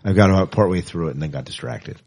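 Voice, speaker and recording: A man's voice: low voice, expressive, quick pace, a little mellow. A man co-hosting a podcast in casual chat, with a playful, teasing way of talking. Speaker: podcast co-host.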